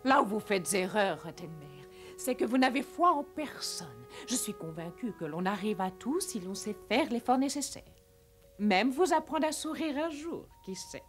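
An actress playing a kindly, teasing, wealthy grandmother in a French cartoon dub, with refined, expressive speech. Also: medium pitch, normal speed, faint music behind, natural voice. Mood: warm, wry.

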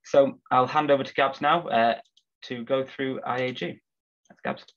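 A man hosting a business webinar, sounding husky from a cold, relaxed with frequent filler words. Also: mid-range voice, moderate pace. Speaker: webinar host. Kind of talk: corporate webinar presentation. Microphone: video call. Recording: slightly dull video call audio.

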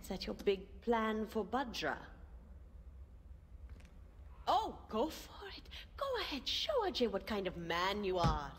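A woman's voice: mid-range voice, very animated, normal speed, long reverb tail, slight background noise, lightly acted. A woman voicing a fierce, ambitious young rebel leader in a video game cutscene, with sarcastic, confrontational delivery. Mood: scornful, heated.

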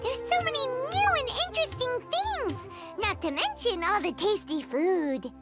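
A woman's voice: lively intonation, unhurried, music in the background, full character voice. A woman voicing a tiny, bubbly female fairy companion in a game who talks about herself by name. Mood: excited. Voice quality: high squeaky voice.

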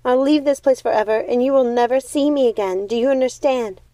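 Storybook young witch female reader, indignant and passionate, raised pitch, dry sound. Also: a little fast, dark tone, no room sound, natural voice. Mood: accusing, tearful. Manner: emphatic, accusing.

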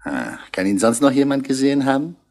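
An actor playing a composed police commissioner who questions tersely and courteously in a 1960s radio play. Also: male voice, medium pitch, big pitch swings, brisk, a little mellow. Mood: courteous, probing.

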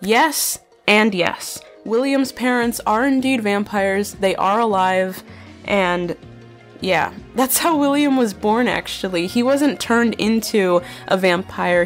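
A young woman chatting casually while answering fan questions, filling her talk with "like" and "bro", her voice slightly nasal. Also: mid-range voice. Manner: chatty, many fillers like "like" and "bro". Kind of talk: casual chat.

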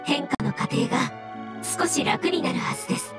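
A woman's voice: high-pitched, normal speed, close to an everyday voice. A woman voicing a solemn, polite fungus spirit in a Japanese game, speaking formally and earnestly. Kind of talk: Japanese anime game dialogue. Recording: game music playing behind.

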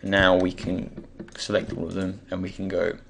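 A man with a croaky, just-woken voice gives an unscripted software tutorial, hesitantly thinking aloud as he goes. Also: low-pitched, completely flat delivery, moderate pace. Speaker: tutorial presenter. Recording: faint background noise, keyboard and mouse clicks.

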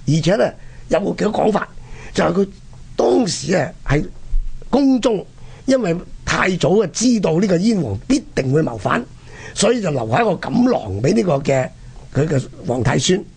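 A man with a Hong Kong Cantonese accent and a husky, gravelly voice, telling history conversationally and dramatically as a radio presenter. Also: high-pitched, darkish tone. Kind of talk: animated conversational history storytelling.

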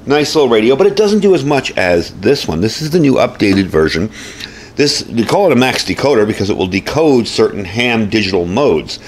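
A man's voice: mid-range voice, big pitch swings, brisk, slight background noise. A man giving a casual, folksy product review and demonstration, explaining things informally as he goes. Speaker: host, gear reviewer. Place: indoors at a desk or workbench.

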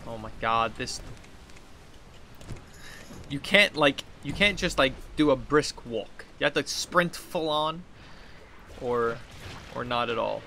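A man's voice: medium pitch, expressive, slow, long pauses, pushed and bright. A man in his thirties giving casual, rambling game commentary, talking to himself and reading quest text aloud. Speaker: gaming video host. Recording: clear background noise, game ambience.

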